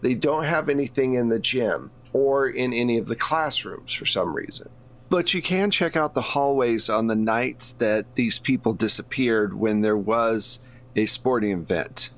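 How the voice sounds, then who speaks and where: A man's voice: mid-range voice, unhurried, fairly bright tone. Conversational man running a tabletop game. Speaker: game master. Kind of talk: improvised narration of a tabletop game.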